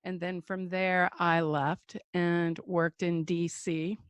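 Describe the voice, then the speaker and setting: An older American woman telling her life story in a relaxed chat, halting and full of fillers, on a video call. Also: on the low side, smooth, no echo. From United States. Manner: slow, halting, many fillers. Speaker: guest speaker. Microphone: computer mic on a video call.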